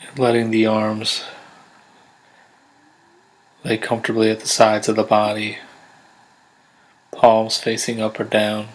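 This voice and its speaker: A man guiding a body scan meditation in a gentle, unhurried, measured way, leaving pauses between instructions. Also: lowish voice, rough recording. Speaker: meditation guide. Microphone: close USB condenser microphone.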